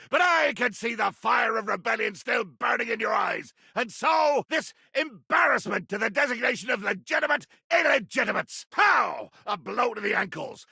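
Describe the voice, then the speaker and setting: Tiny hot-tempered video game pirate, highish raspy voice, super theatrical, dry close sound. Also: male voice, sharp tone, dry recording, heavily acted. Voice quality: raspy, gravelly. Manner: bombastic, theatrical, florid archaic phrasing. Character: pompous, diminutive, swashbuckling pirate with hot temper. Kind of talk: video game character acting.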